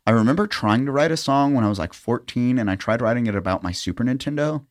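A man's voice: mid-range voice, fast, no room sound, studio quality. A young man hosting a podcast, chatting casually and teasing his guest with jokes. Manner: jokey, teasing, laughs often. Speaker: podcast host. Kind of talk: casual interview chat.

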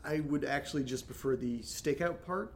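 A man's voice: normal speed, lightly acted. An actor playing a wary, guilt-ridden man who bottles things up, in naturalistic audio drama. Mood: wary, sarcastic. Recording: clear background hiss and low rumble.

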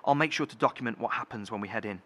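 A man playing a cautious, dutiful bunker leader with a measured manner in an audio horror drama. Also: medium pitch, bright, forceful tone. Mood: sober, measured.

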